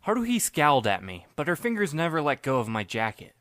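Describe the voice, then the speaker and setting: A man's voice: fairly high pitch, huge pitch swings, a little fast, fairly bright tone, no echo. Dry, wry young male narrator. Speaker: narrator and lead reader. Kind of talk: first-person audiobook narration.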